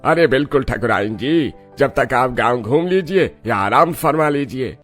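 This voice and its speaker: A man's voice: medium pitch, lively intonation, unhurried, soft background music, natural voice. A man voicing a kindly, obliging middle-aged village headman in a cartoon, reassuring and deferential.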